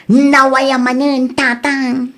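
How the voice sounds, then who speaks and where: A woman's voice: sits high, little pitch movement, slow pace, a bit bright, heavily acted. A woman with an Ilocano accent voicing a lovestruck, deluded young woman who talks in a giddy, exclamatory way in an audio drama.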